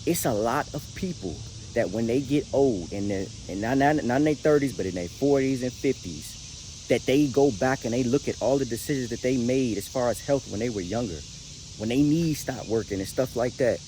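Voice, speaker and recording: A man's voice: medium pitch, normal speed, mellow. An American man in his late twenties giving a casual motivational talk straight to the audience, emphatic and slangy, repeating words and phrases for weight. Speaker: motivational vlogger. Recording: noisy recording with clear background noise.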